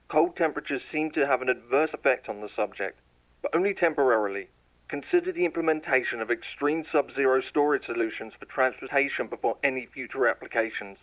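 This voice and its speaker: A man voices a lab scientist in a horror audio drama, dictating test logs in a measured, clinical tone. His voice sits at an ordinary middle pitch for a man. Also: lo-fi sound. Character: male lab scientist logging tests, growing frightened. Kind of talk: scripted horror audio narration.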